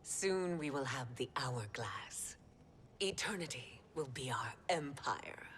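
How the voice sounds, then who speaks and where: A woman's voice: extremely low pitch, lively intonation, takes it slowly, a bit bright, slight background noise, full character voice. An actress playing an imperious, vengeful warrior queen in a video game cutscene, with a haughty, commanding manner. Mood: coldly scheming.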